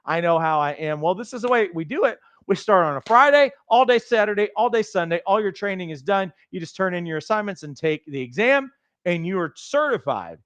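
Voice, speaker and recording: A man with a raspy voice hosting a livestream, giving a fast, emphatic, motivational pitch for coach training. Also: lively intonation, a bit bright, no echo. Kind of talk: energetic sales pitch on a livestream.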